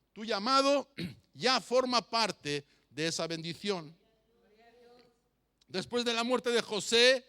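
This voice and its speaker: Guy preaching, kinda high clean voice, really drives points home by repeating them. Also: a bit bright, clean tone. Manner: emphatic, repeats phrases for effect; rhetorical questions addressed to listeners. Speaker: pastor preaching to a congregation. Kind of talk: sermon.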